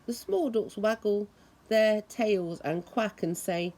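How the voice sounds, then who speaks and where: A woman reading a children's story aloud in a deliberate, expressive way, imitating the sounds it describes. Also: mid-range voice. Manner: deliberate, acts out noises expressively.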